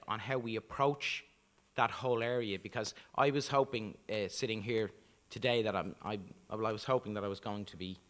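A man's voice: lowish voice, fairly bright tone. An older man answering on a conference panel into a handheld mic, earnest, with many fillers. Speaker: community media committee member. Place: conference function room.

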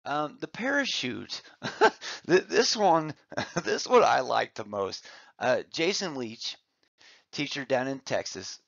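A man presents as a gym teacher, chatting informally and with enthusiasm over slides. His voice is middling in pitch with a good deal of creak. The recording is dry and slightly dull. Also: very animated, slow, a bit bright, dry recording. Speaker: physical education teacher, presenter. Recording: slightly muffled, dull audio.

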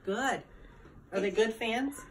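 A woman's voice: a little high, slow. A middle-aged woman chatting casually and teasingly with a visiting relative inside a family house. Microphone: phone camera held by another person.

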